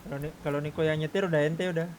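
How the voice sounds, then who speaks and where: A man with a somewhat high voice chats casually as he plays. It sounds like game voice chat, with a little noise behind him. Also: fairly even intonation, very fast. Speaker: roleplay gamer.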